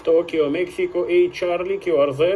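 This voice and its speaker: High-voiced male ham radio operator, heavy static. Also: steady tone, moderate pace. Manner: clipped, repetitive phonetic spelling. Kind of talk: radio contact exchanges with callsigns and signal reports. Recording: very noisy, radio static and clicks.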